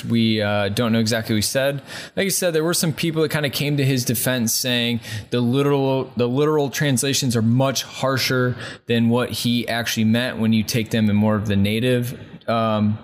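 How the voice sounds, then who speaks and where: A man co-hosting a football fan podcast, talking in a measured, reasoned way. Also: mid-range voice. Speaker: podcast co-host. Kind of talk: casual fan podcast chat.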